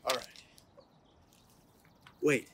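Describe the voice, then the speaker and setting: A man's voice: extremely slow, a bit bright, slight background noise. A young man reading a children's picture book aloud outdoors in a playful, theatrical way with emphatic build-ups. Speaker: police officer reading at storytime. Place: outdoors on an open terrace.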